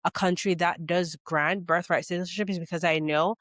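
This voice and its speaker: A woman's voice: on the low side, fairly even intonation, fairly quick, a bit bright, no room sound, clean studio sound. A woman from Jamaica giving a casual, chatty explainer as a vlogger, often checking in with "okay?" and "you know". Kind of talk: casual talking-head explainer.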